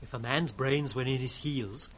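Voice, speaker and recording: Bright, impish British jester, acted by a man. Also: mid-range voice, normal speed, a little hiss or hum, close to an everyday voice, poor audio. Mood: teasing. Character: impish, riddling court jester, male, teasing his master. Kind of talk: 1960s British radio Shakespeare acting.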